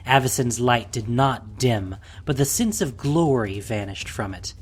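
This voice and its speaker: A man narrating a scripted fantasy audio drama in a dramatic, deliberate way, with a breathy voice. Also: mid-range voice, expressive.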